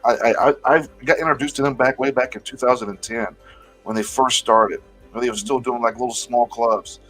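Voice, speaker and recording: A man in his forties hosting a casual music chat show, animated and swearing freely, often repeating words as he talks. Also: medium pitch, a little fast, poor audio. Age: 40s. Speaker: show host.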